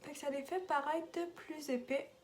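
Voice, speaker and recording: A young woman with a Québécois accent chats through a makeup tutorial in a slightly high voice, wandering into side comments and dropping English product words. A faint background noise sits under the recording. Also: steady tone, moderate pace. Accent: Québécois French. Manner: chatty, rambling asides with English makeup terms. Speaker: makeup vlogger.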